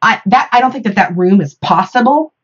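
An American woman in a reflective podcast discussion, speaking thoughtfully and haltingly with pauses between phrases. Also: mid-range voice, expressive, slightly rough, muffled recording.

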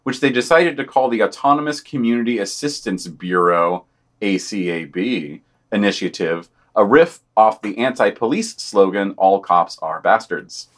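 A man's voice: on the low side, normal speed. A man co-hosting a podcast, reading scripted news commentary aloud in a wry, sardonic manner. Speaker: podcast co-host.

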